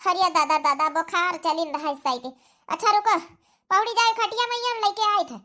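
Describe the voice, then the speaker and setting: High, bright, fussing Awadhi village wife by a woman. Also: normal speed. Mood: concerned, reassuring. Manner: chatty, reassuring. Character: fussing, devoted village daughter-in-law and wife. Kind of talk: Awadhi comedy cartoon dialogue.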